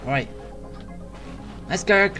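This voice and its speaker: A woman's voice: lowish voice, expressive, slow pace, a bit bright. A woman giving casual, wry commentary while playing a video game, in an offhand way. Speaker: gamer commenting on play. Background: game music.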